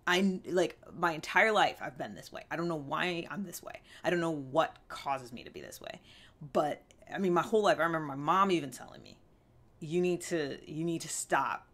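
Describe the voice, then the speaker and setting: Low-pitched woman, earnest and conversational. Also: normal speed, a bit bright. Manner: earnest, conversational, emphatic with frequent check-in questions. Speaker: vlog host. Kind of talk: candid self-help talk to camera. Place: small indoor room with fabric backdrop.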